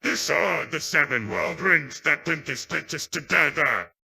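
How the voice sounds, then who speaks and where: A synthetic male voice playing a power-hungry, boastful immortal djinn villain in a game cutscene, with grandiose, menacing delivery and a gravelly, husky tone. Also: fairly high pitch, measured pace, bright, forceful tone, heavily acted. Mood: grandiose menace. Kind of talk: dubbed video game cutscene dialogue.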